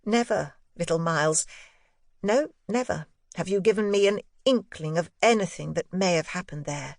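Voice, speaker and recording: A woman's voice: fairly low pitch, normal speed, no echo, close to an everyday voice. Woman reader as an earnest, tender governess, dry sound. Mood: probing. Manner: earnest, probing. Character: anxious, tender governess questioning a child. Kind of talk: audiobook dialogue, literary ghost story.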